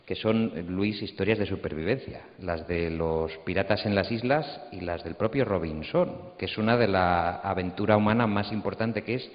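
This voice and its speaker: Deep-voiced man moderating in a hall. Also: normal speed, few pauses. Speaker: panel moderator. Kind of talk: explanatory panel talk. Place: stage of an auditorium. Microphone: handheld microphone. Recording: echoey hall PA, dull sound.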